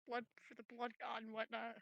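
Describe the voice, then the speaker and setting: A woman with a bright, rather high voice and a good deal of creak chats as a player at a tabletop game. She comments wryly and casually on the action. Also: completely flat delivery, brisk, no echo.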